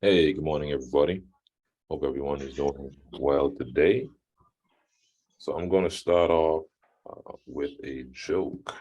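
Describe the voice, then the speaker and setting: A man's voice: a bass voice, unhurried, darkish tone, slightly rough, muffled recording. A man on a video call, handing out light-hearted fines to fellow club members in an easygoing way. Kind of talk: casual online meeting talk.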